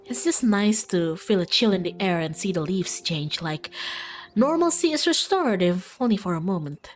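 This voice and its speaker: Woman plays breezy young small-town gal in a visual novel, chatty monster-hunting enthusiast, very animated. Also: mid-range voice, moderate pace, slight background noise, natural voice. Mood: jaunty, chatty.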